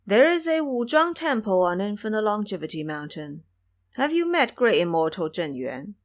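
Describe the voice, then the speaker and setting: Woman as a stern, measured goddess. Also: medium pitch, big pitch swings. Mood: calm. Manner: measured, formal, admonishing. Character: serene, authoritative female bodhisattva, sternly maternal.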